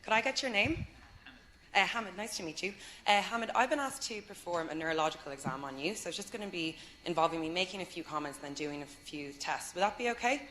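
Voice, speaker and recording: Young woman med student, low bright voice, polite, bit hesitant. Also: fast talker. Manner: polite, hesitant, many fillers. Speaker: medical student. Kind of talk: role-play exam demo.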